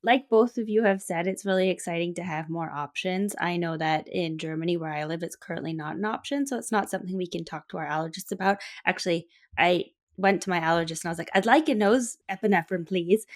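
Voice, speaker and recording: A woman's voice: on the low side, fast talker, hardly any pauses. A woman co-hosting a health podcast, talking casually and informally, often voicing example questions. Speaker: podcast host, patient perspective. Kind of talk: casual conversational discussion.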